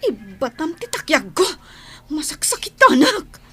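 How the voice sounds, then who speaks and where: A woman with an Ilocano accent playing a sharp, defiant woman quarrelling in a radio drama. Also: high-pitched, wildly swooping pitch, measured pace, exaggerated voice. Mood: angry, pained.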